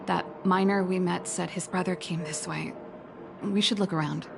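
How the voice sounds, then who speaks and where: A headstrong, rebellious young woman in a video game. She speaks in a slightly low voice, frank and sardonic, over clear background music. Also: fairly even intonation, moderate pace. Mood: subdued. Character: headstrong rebellious young woman, still resentful of her father.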